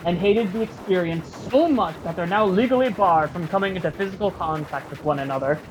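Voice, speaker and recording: Man w a high voice reading comic bits, noisy amateur mic. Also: a little fast. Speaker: reader in a fan comic dramatic reading. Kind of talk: comedic scripted narration. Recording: clearly noisy amateur recording.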